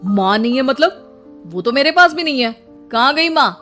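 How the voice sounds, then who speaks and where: A woman's voice: sits high, expressive, moderate pace, pushed and bright, soft background music. A woman storyteller voicing a resentful, stern grown man, an accusing elder brother. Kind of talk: storybook character acting.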